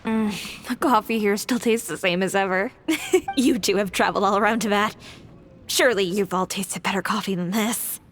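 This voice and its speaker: A woman playing a wistful young woman in a video game, soft-hearted and hesitant, attached to old friends. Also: mid-range voice, normal speed, slight background noise, natural voice. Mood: warm, nostalgic.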